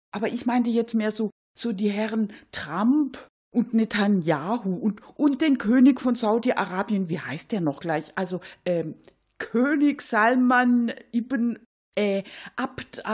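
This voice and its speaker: A woman's voice: medium pitch, expressive, slow, darkish tone, husky. A woman playing a gossipy, opinionated Swabian cleaning lady in a comic radio monologue, folksy and mock-naive. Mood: opinionated, chatty. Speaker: cleaning lady character in a satirical radio show.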